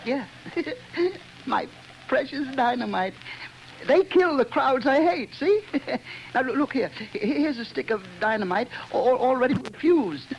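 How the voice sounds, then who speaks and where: A man's voice: very high-pitched, lively intonation, takes it slowly, lo-fi sound, heavily acted. A man playing an unhinged bomber who stammers, giggles and talks like a child, in old-time radio drama style. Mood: gleeful. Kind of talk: 1930s radio drama acting. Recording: old radio recording with noise.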